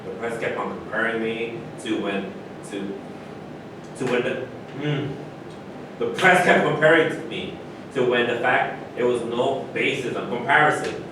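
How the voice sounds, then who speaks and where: A man's voice: measured pace, pauses often, close to an everyday voice. A man playing a proud, frustrated former basketball star in a staged reading of a play. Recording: very echoey hall, distant mic, rumbling noise.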